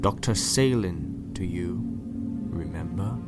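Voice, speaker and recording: A man's voice: low voice, takes it slowly. A man acting a sinister, urbane doctor in an audio drama, polite, theatrical and condescending, with cool menace. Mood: smug, condescending. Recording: loud music behind the voice. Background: loud music.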